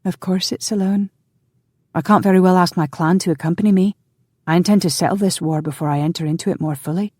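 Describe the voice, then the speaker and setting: A narrator voicing a headstrong young woman who leads a clan, loving, defiant and quick to plead or protest. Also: medium pitch, steady tone, darkish tone, dry recording, studio quality, pitched above the performer's own voice, close to an everyday voice. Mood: indignant. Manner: pleading, indignant.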